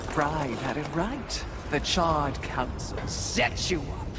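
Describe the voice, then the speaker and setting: An actor voicing an envious, scheming shape-shifting demon who taunts slowly, with loud game music behind. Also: medium pitch, heavy background noise, heavily acted. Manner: gloating, slow and taunting.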